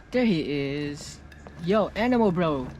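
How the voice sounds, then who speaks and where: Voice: mid-range voice, expressive, slow, darkish tone, slight background noise. A streamer giving casual game reaction commentary in short interjections, often echoing phrases. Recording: game music behind.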